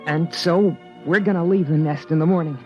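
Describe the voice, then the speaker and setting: An actor playing an earnest teenage boy who narrates, speaking in a slightly halting way in a 1950s radio drama. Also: fairly high pitch, fairly even intonation, loud music behind the voice, lightly acted. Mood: wistful. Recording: dull old radio broadcast recording.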